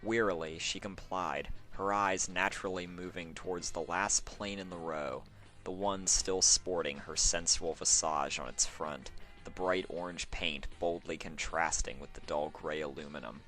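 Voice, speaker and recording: A man's voice: low-pitched, fairly even intonation, measured pace, sharp tone, faint music behind. A man with a sore throat reading fan fiction as a scripted story narration.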